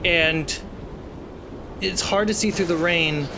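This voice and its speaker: Game master, slow dramatic narration, suspenseful pauses, mid-pitched voice, noisy ambient background.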